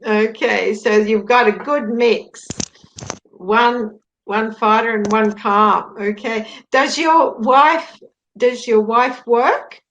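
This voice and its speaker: Aussie woman teaching english on a video call, slow and patient, rewords things. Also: a little high, fairly bright tone, highs cut off. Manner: patient, rephrases questions. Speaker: English tutor. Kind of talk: online language lesson conversation.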